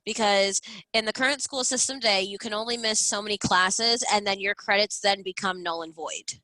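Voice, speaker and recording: A woman's voice: mid-range voice, fairly even intonation, brisk, bright, forceful tone, no room sound, poor audio. A woman in her early twenties co-hosting a casual true-crime comedy chat, blunt, emphatic and foul-mouthed. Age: early 20s. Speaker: podcast co-host.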